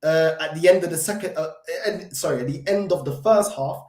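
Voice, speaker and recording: A man with a London accent, pitched a touch high, rants about his football team in a reverberant, echoey room. He is still recovering from illness, so the voice is not at full strength. He is emphatic and repetitive, stumbles through restarts, and fills his pauses with ums. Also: a little mellow. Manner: frustrated, emphatic, repeats himself with many fillers and restarts. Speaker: football fan channel host. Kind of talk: solo fan reaction commentary.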